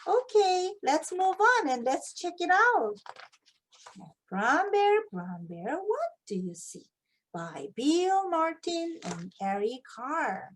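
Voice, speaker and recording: Female, native Korean with Korean-accented English. High-pitched voice, expressive read-aloud teacher who prompts with questions. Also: slow, a little hiss or hum. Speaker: English teacher, children's book reader. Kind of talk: picture book read-aloud demonstration with tips.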